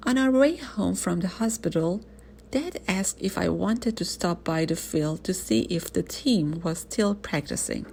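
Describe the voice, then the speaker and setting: Animated woman reading a children's story, slightly creaky. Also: fairly low pitch, a little slow, slight background noise. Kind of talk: expressive read-aloud of a children's story, first-person narration.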